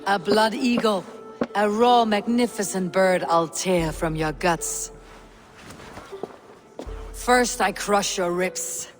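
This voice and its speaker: A woman's voice: medium pitch, fairly even intonation, slow, pauses often, natural voice. An actress playing a tough, blunt female Viking warrior in a game cutscene. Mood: menacing. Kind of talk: video game cutscene acting. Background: orchestral game music.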